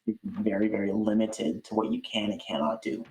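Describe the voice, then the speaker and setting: A man speaking as a guest in a casual interview, in a halting way that repeats words for emphasis. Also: fairly low pitch, a little mellow, poor audio. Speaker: interview guest, audiobook voice actor.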